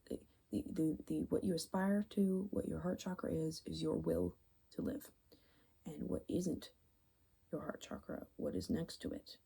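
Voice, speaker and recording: Creaky, breathy ASMR woman, soft and musing. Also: fairly low pitch. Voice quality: soft, breathy, often slipping into whisper; very creaky vocal fry. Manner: musing, meandering, halting mid-thought. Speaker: ASMR video creator. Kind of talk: ASMR, rambling casual personal explanation.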